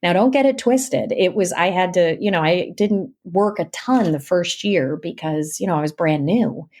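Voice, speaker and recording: Woman, lowish pitch, interview guest, actress storyteller, dramatic delivery, acts out dialogue. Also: normal speed, a little mellow. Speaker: guest, actress and audiobook narrator. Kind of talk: animated personal storytelling in an interview.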